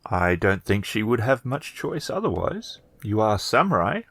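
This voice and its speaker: A man voicing a plainspoken village man in a feudal fantasy Japan tabletop game, speaking in a measured, formal, halting way. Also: on the low side, sing-song, a bit bright, no room sound, heavily acted.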